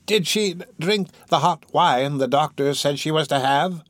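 Man putting on a drawling high-pitched old crone. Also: measured pace, dry recording, close to an everyday voice. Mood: gossiping. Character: shrivelled old workhouse crone, sly, morbid, gossiping.

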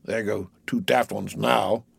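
A man voicing a gruff, wry old grey-haired sailor in an audiobook, muttering and grumbling. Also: medium pitch, moderate pace, no echo, close to an everyday voice.

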